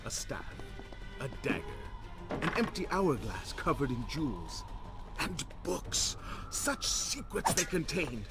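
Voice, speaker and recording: An actor playing a scheming, power-hungry older vizier in a video game, speaking in a theatrical, menacing and deliberate way. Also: male voice, medium pitch, very animated, over loud music. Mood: grandiose, brooding. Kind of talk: dramatic villain monologue.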